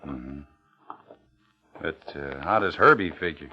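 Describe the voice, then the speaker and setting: An actor playing a guarded, smooth-talking, hard-boiled man in an old noir radio drama. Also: a bass voice, expressive, slow, bright, forceful tone, heavily acted. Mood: smooth, probing. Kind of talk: 1950s radio drama acting with noir narration. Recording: dull old radio recording, music underneath.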